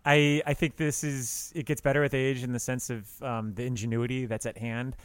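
A man with a smooth, resonant voice of medium pitch, recorded close and dry. He gives casual film critique as a podcast panelist. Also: little pitch movement, normal speed, dry recording.

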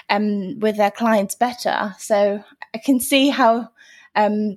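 A woman hosting a podcast interview, upbeat and encouraging, her pitch rising on her questions. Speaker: podcast host.

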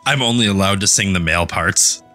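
A man's voice: low voice, a little fast, sharp tone, soft background music. A man, probably in his thirties, chatting casually as a podcast guest in a wry, joking way.

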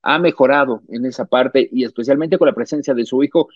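A man's voice: medium pitch, a little fast, dry recording, lo-fi sound. A man hosting a sports radio show, recapping the interview with energy. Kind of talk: commentary.

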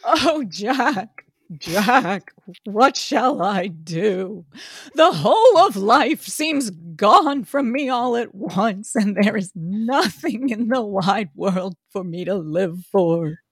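A grieving young Victorian gentleman, acted out by a woman, earnest and overwrought. The words come slowly and heavily, in the melodramatic style of a gothic novel reading, with a dry, close sound. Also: mid-range voice, lively intonation, no room sound. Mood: despairing. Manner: slow, drawn-out, overwrought melodramatic delivery. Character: grief-stricken earnest young gentleman mourning his fiancée.

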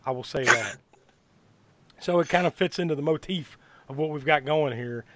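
A man hosting a horror movie podcast, hoarse from bronchitis, talking in a rambling way with many fillers and restarts. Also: fairly high pitch, normal speed, fairly bright tone, no echo. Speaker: podcast host. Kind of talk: casual podcast chat.

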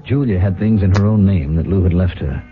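A man's voice: low-pitched, fairly quick, faint music behind, lightly acted. An actor playing a glib, scheming, defensive businessman husband in a theatrical 1970s radio drama style. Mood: rueful, confiding. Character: smooth-talking, scheming husband running a failing company. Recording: tinny, noisy old radio broadcast.

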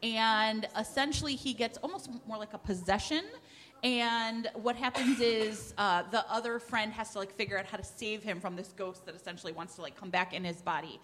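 A woman podcast co-host casually recommending books at a live event, rambling and excitable as she thinks aloud. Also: mid-range voice, normal speed, slight background noise. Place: large room with a live audience, indoors. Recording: echoey hall with long reverb.

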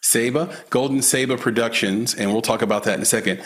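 A man hosting an interview, talking in a halting way with many fillers and restarts, laughing easily. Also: mid-range voice, steady tone, fairly bright tone. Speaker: podcast host, interviewer. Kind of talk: casual interview.

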